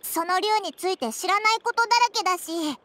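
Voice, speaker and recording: A woman's voice: very high-pitched, fairly quick, fairly bright tone, slight background noise. A woman voicing a small, curious, brash fairy companion girl in an anime game, with blunt childish phrasing. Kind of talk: Japanese anime game voice acting.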